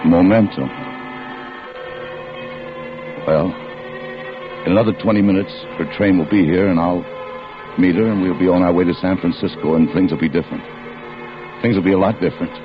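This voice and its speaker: Vintage radio drama: male actor as bitter, slangy American husband, down on his luck and tough-talking, deep voice, muffled recording. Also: a little fast, pauses often, music in the background, close to an everyday voice. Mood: brooding, tense. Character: bitter, defensive out-of-work husband telling his own crime story. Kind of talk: 1940s-50s American radio acting, hardboiled first-person narration.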